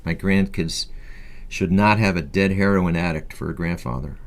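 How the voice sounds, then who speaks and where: A man's voice: low voice, normal speed. A man in his fifties reading a scripted first-person memoir as an audiobook narration. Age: 50s.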